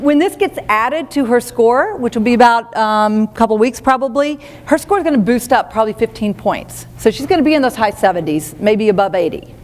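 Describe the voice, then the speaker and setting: A woman with a fairly high voice gives a seminar keynote in a brisk, practical, no-nonsense manner. Her words ring out with the long reverb of a big event hall. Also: darkish tone. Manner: brisk, practical, direct advice. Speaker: keynote speaker. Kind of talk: live seminar talk.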